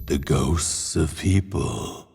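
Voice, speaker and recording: A man narrating a scripted horror story in a deliberate, suspenseful way, with dramatic pauses. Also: rumbling low, expressive, vocal fry, a little mellow, slightly rough, no echo, clean studio sound.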